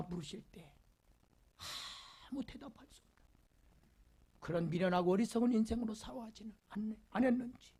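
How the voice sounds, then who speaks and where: A male pastor preaching a Sunday sermon, leading the congregation in reading and repeating rhetorical questions, swinging from loud emphasis to near whispers. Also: extremely high pitch. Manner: leads the congregation in call-and-response reading.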